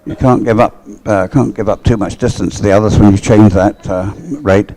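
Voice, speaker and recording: A man giving live sports commentary in an explanatory, conversational way, thinking aloud in short, halting phrases. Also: lowish voice, fairly even intonation, warm tone, no echo.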